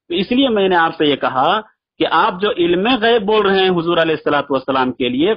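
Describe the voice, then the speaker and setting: Casting: husky-voiced man, fairly high pitch, insistent religious debater on a dull phone recording. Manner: insistent, argumentative, repeats himself. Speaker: debater arguing a religious position. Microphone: phone call.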